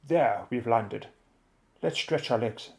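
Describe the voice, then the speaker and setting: Man as gentleman inventor pilot, creaky voice, jaunty, unflappable, old-fashioned chummy diction, radio drama. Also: mid-range voice, takes it slowly, very noisy. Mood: breezy. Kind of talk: radio adventure drama acting.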